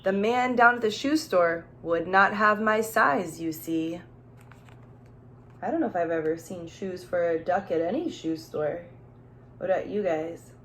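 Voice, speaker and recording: A woman's voice: fairly low pitch, a little slow, a bit bright, a little hiss or hum. A woman teacher reading a children's storybook aloud, expressively, with questions and asides addressed straight to young listeners.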